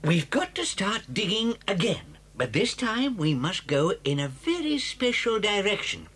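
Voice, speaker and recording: A clever, gentle father fox in a children's story tape. His husky voice rises and falls freely, cautious but full of hope for his family. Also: sits high, big pitch swings, unhurried, exaggerated voice. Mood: determined, tender. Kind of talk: children's audio story dramatisation.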